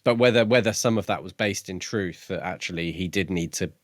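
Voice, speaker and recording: Low-voiced man musing, close dry sound. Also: a little fast, no room sound. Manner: musing, tentative. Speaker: book podcast co-host. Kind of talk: casual discussion.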